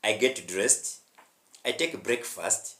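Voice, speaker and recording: A man's voice: fairly low pitch, slow pace, sharp tone, roomy sound. A young Rwandan man with a Rwandan accent teaching a spoken English lesson, emphatic and often checking in with his listeners. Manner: emphatic, checks in with "huh?" and "hmm?".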